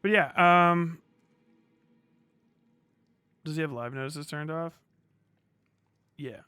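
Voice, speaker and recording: A man with a somewhat high, smooth and clear voice talks off the cuff as a live streamer. His delivery is casual and rambling, full of slang and swearing, in the loose manner of someone gaming while he chats. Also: slow pace, pushed and bright, no echo. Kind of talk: casual livestream chat while playing a video game.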